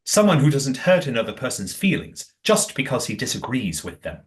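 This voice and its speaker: Audio play role, male actor: righteous community elder-statesman type, formal, theatrical, with animated medium-pitched delivery. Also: fairly quick, lightly acted. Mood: indignant. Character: righteous, well-spoken man guarding his community's history.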